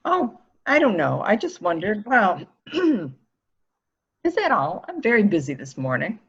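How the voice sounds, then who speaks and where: A woman's voice: mid-range voice, wildly swooping pitch, slow, a bit bright, slightly rough, dull audio, full character voice. A woman playing an evasive, protective woman in a murder mystery reading, defensive and primly indignant. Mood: uneasy, evasive. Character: evasive, protective woman guarding an excitable boy.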